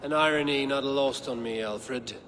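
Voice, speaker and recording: An actor playing a grim, proud Norse warrior with a measured, defiant manner in a video game. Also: male voice, mid-range voice, a bit bright, lightly acted. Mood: grave, measured. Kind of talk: video game cutscene acting. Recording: long reverb, noisy ambience behind.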